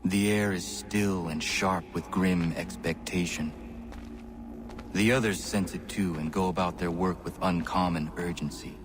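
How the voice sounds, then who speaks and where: Low-voiced, earnest young assassin. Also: male voice, noisy background. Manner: formal, measured, solemn diction. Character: young male assassin, earnest, defiant, impatient with elders. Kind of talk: dramatic video game acting.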